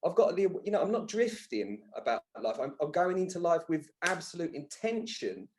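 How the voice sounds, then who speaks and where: A man's voice: on the high side, very animated, normal speed, a little mellow, slight background noise. A man in his thirties talking as a podcast guest in a conversational interview, earnest and emphatic, often repeating words for emphasis. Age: 30s. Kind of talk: conversational personal-development interview.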